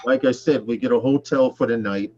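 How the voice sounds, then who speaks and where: A man's voice: medium pitch, fairly quick, warm tone. Man co-hosting a drone livestream, lo-fi. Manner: loose rambling talk, frequent throat clearing. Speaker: livestream co-host. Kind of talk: casual live chat.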